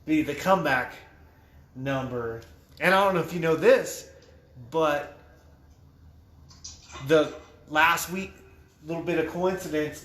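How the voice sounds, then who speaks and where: A man hosting a casual livestream podcast, chatty and loose, swearing casually. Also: fairly high pitch. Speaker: host. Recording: echoey room with faint noise.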